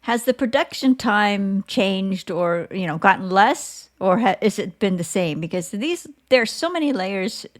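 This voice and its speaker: A woman's voice: medium pitch, animated, measured pace, few pauses. A woman hosting a podcast, asking questions in a conversational interview style.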